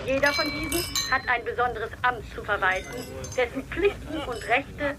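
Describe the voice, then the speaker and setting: An actress playing the formal, mock-ceremonious announcer of an imperial society in a historical radio play. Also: female voice, sits high, normal speed, loud music behind the voice, natural voice. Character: official announcer of the Kaiser's travel society.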